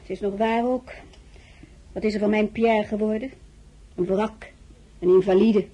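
An actress playing a blunt, grumbling, loyal older maidservant in a radio drama, with a scolding, familiar manner. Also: female voice, mid-range voice, fairly even intonation, a little slow, warm tone, breathy edge, dull audio. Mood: bitter. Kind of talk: radio play acting.

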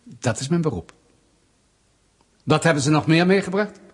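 A man's voice: fairly high pitch, expressive, normal speed, breathy edge. An actor playing a suspicious, smug state security interrogator in a radio play, pedantic and sardonic as he presses his questions. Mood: insistent. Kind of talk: Dutch radio play acting.

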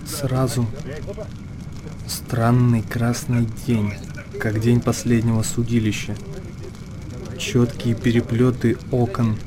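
A man performing as a solemn storyteller, narrating a literary radio drama in measured short phrases. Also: medium pitch, natural voice, poor audio. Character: solemn storyteller of a monastery tale.

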